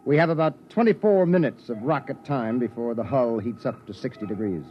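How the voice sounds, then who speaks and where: An actor playing a weary, wry, commanding starship captain in 1950s radio drama style. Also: male voice, mid-range voice, fairly quick, faint music behind, lightly acted. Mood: grave, resigned. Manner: commanding, dryly sardonic. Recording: dull, noisy vintage radio recording.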